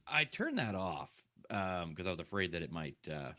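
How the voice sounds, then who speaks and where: A man's voice: deep voice, big pitch swings, normal speed, pushed and bright, no room sound, studio quality. A man chatting casually on his own microphone in an online group reading, as a guest reader. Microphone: own microphone in online group call.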